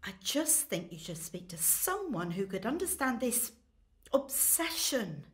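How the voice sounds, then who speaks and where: Lady doing a fed-up worried wife, reproachful, lively swoops, radio comedy. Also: fairly high pitch, measured pace. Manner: reproachful, insistent. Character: exasperated, worried wife delivering firm ultimatums.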